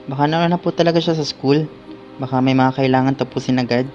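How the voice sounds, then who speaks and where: A dutiful teenage brother in a school radio drama, played by a man. He is polite and deferential to his elders. The recording is slightly dull, with soft music behind. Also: medium pitch, fairly even intonation, quick pace, lightly acted. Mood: reassuring, dutiful. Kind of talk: amateur radio drama acting. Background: background music.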